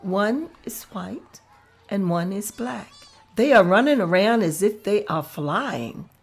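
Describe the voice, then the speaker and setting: A woman reading stage directions aloud at a table read in a slow, measured way. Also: mid-range voice, lively intonation, vocal fry, slightly rough.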